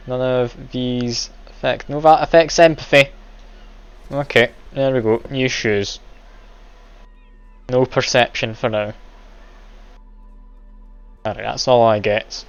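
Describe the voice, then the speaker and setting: A man's voice: mid-range voice, steady tone, slow pace, vocal fry, long pauses, clean tone. A man streaming a game live, chatty and self-deprecating, laughing often as he plays. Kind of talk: casual live game commentary. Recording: dull lo-fi stream audio, game music behind.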